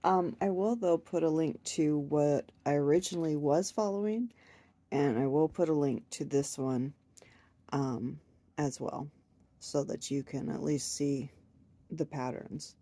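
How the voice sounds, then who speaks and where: A low, slow-talking woman, her voice scratchy and congested from a cold. She rambles through a casual home vlog, laughing at herself often. Her tone is dark and mellow. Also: no echo. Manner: rambling, self-deprecating with frequent laughs. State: hoarse, stuffed up from a cold. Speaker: crochet vlogger. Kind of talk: casual chat to camera.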